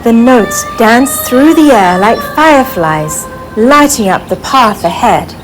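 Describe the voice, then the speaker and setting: A woman reading a children's story aloud in an expressive, deliberate storytelling manner. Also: fairly high pitch, soft background music, low-quality recording. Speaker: author reading own story. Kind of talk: scripted children's storybook narration.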